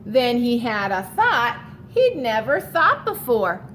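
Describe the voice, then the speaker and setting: A woman's voice: a little high, big pitch swings, takes it slowly, fairly bright tone, a little hiss or hum. A woman reading a picture book aloud to children like a teacher, expressively stretching key words and adding explanatory asides for young listeners.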